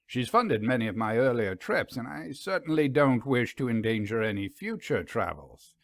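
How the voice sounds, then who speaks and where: A man's voice: medium pitch, lively intonation, normal speed, no echo, clean studio sound, close to an everyday voice. A man voicing a courteous, polished professor with an English accent in a storybook reading. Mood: guarded.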